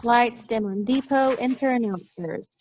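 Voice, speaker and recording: A woman's voice: on the high side, measured pace, darkish tone, slight background noise. A woman reading stage directions as scripted narration in a play table read over a video call. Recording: muffled video-call audio.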